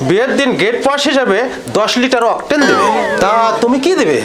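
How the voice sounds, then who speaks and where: A man's voice: very high-pitched, very animated, fairly quick, music in the background. A man playing an imperious, pompous village patriarch bargaining over a marriage in a comic drama. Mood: haughty, demanding.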